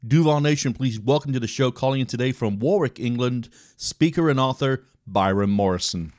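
A man's voice: medium pitch, fairly quick, a little mellow, dry recording. A man hosting his own podcast, reading a scripted intro and asking interview questions in an upbeat, announcer-like way. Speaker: podcast host.

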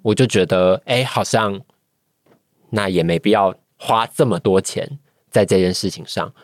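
Podcast guest: Taiwanese-accented man, lowish voice, hesitant and reflective, dry sound. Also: measured pace, no echo. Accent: Taiwanese Mandarin. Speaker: guest, voice-acting trainee. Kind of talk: reflective podcast interview chat.

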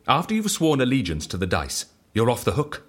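A man playing an eccentric, self-assured devotee of chance in an audio drama, asking clipped, probing questions and speaking with calm conviction. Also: medium pitch, brisk, slightly rough. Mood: coaxing.

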